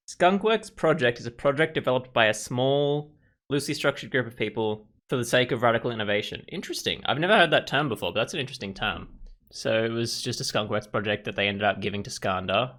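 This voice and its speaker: A man's voice: mid-range voice, bright, forceful tone. A man giving casual gaming commentary, thinking aloud and reading game text as he goes. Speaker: gaming YouTuber.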